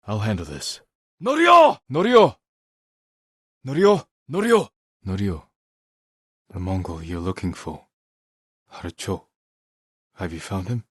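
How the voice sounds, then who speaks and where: Grave samurai warrior, low voice, slightly husky, measured, terse, determined, video game dialogue. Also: male voice, huge pitch swings, fairly bright tone, dry recording, clean studio sound, full character voice. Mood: earnest, steady, then urgent, calling out. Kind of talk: dramatic game character dialogue.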